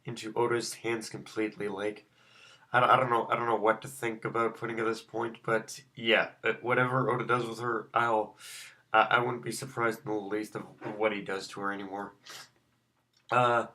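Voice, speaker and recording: A man with a slightly raspy, sore-throated voice giving a casual, unscripted review, often halting and repeating his phrases. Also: fairly low pitch, little pitch movement, pushed and bright. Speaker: manga reviewer and video host.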